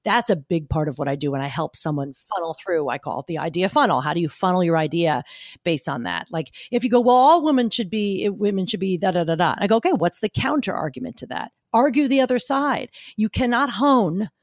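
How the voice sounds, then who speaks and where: Woman w a gruff gritty voice, kinda low, punchy and emphatic, super dry sound. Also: a little fast, no echo. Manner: emphatic, argumentative, animated storytelling. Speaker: guest speaker coach. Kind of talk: podcast interview.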